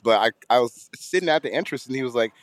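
Hoarse, gravelly podcast co-host man. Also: mid-range voice, expressive, dry recording. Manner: halting, repeats words, chuckles. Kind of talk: casual podcast chat.

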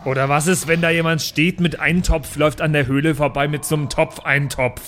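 A man's voice: a little high, fairly even intonation, brisk, a bit bright, a little hiss or hum. A man talking casually as a player in a tabletop role-playing game. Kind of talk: casual improvised game chat. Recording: music behind the voice. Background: background music.

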